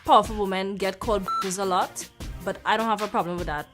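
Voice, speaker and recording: A woman's voice: mid-range voice, quick pace. A woman speaking frankly and with bold self-assurance in a reality show confessional interview. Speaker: reality show cast member. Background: music.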